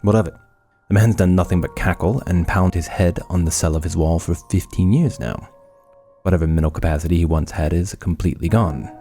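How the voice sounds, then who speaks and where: An actor playing an aloof, obsessive scientist in a horror audio drama, speaking formally and dismissively. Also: male voice, low-pitched, a little fast, dark tone, soft background music.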